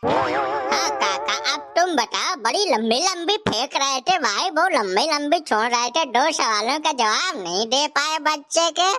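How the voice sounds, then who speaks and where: Woman doing cheeky young village guy, very high sharp voice, argues and taunts, cartoon style, faint music behind. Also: animated, unhurried, heavily acted. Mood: scolding, triumphant. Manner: taunting, colloquial, insistent. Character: cheeky, argumentative younger village man, teasing and insistent. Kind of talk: comic cartoon dialogue.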